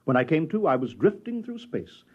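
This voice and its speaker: A man's voice: on the high side, big pitch swings, normal speed. An actor playing a genial, sly alien posing as a courtly, talkative old man in a 1950s radio drama. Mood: smooth, confiding. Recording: dull old-time radio recording.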